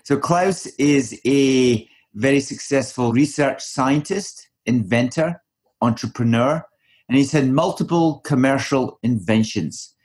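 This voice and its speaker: A man's voice: medium pitch, unhurried, darkish tone. Upbeat chatty man hosting a podcast. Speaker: podcast host, physician. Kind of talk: podcast interview.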